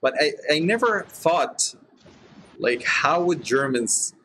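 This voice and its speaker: A man hosting a casual group chat about role-playing, chatty and quick to laugh. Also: on the high side, sing-song, pushed and bright, a little hiss or hum, low-quality recording. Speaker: podcast host.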